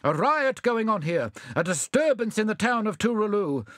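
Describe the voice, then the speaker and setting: A pompous little mayor blusters in a high, loud voice, as a man puts him on. The delivery swoops with lively pitch, and the recording is dry and close. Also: moderate pace, no room sound. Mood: blustering. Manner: blustering, stern. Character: pompous bustling little fat male mayor.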